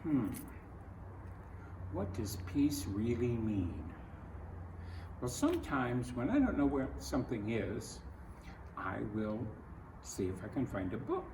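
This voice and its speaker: A man reading a picture book aloud to children, speaking in simple, deliberate phrases and putting questions to the listener. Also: medium pitch, sing-song. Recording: roomy, distant mic, clearly noisy.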